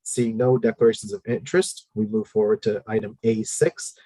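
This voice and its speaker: Male meeting chair, low husky voice, steady procedural delivery with many fillers. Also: dry recording, rough recording. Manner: procedural, measured, frequent "uh" fillers. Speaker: committee chair.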